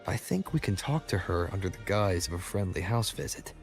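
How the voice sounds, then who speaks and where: An actor playing a calm young man in a game, speaking evenly and plainly. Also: sits low, a little fast, faint music behind.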